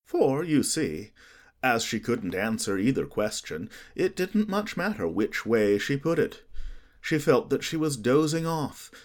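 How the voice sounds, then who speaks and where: A man narrating an audiobook in an expressive storytelling manner. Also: medium pitch, measured pace.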